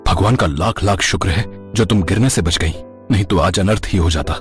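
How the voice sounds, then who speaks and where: A man acts a dependable husband and elder son with a low voice. He speaks earnestly and reassuringly in a cartoon family story. Music plays behind him. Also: brisk, natural voice. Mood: relieved. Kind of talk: animated Hindi family story dialogue.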